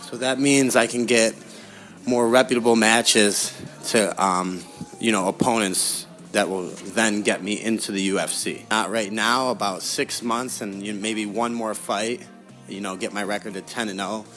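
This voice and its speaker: A man's voice: fairly low pitch, fairly even intonation, measured pace, a bit bright, faint music behind. A young adult man answering interview questions in a casual, conversational way as a guest. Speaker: interview guest, professional fighter.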